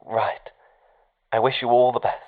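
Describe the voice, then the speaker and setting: An actor playing a resigned, uneasy colleague in an audio drama, halting and formal through a radio-like filter. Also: mid-range voice, steady tone. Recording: muffled narrow-band communicator sound.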